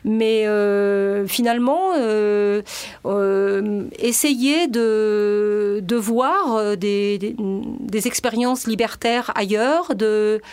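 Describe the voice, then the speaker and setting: A woman whose first language is Spanish, a guest editor and translator on a radio interview, speaking hesitantly with many filler pauses. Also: medium pitch, a little mellow, clear, resonant tone.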